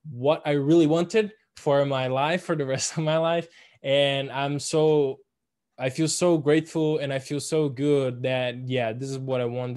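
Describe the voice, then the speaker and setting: A young man whose first language is Portuguese, giving a student presentation over a video call in a halting way with many fillers. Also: mid-range voice, darkish tone. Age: young adult. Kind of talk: online presentation.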